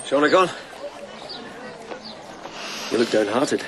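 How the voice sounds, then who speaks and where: An actor playing a practical lieutenant in a radio drama, speaking in a dry, conversational, reasoning way. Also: male voice, mid-range voice, lively intonation, slow pace, crowd noise, noisy background. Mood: wry, pragmatic. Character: practical, wry male lieutenant on a search.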